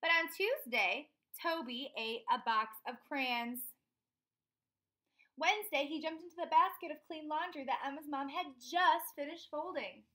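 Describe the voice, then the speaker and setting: A young woman with a high-pitched, bright voice reads a children's picture book aloud. Her delivery is expressive, and she speaks directly to small listeners, checking in with questions as she goes. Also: measured pace. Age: young adult. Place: small room indoors at home.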